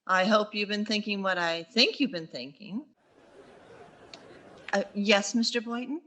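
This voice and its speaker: An actress playing a wry, quick-witted high school English teacher in 1940s radio sitcom style, with dry sarcastic asides. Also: female voice, lively intonation, fairly bright tone. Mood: sweetly sarcastic.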